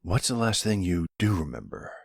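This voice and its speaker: A man playing a gentle, worried boyfriend in an intimate roleplay audio drama, soothing and reassuring with a hesitant delivery. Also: very animated, moderate pace, no echo, studio quality, exaggerated voice. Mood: caring, reassuring. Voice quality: low, husky voice.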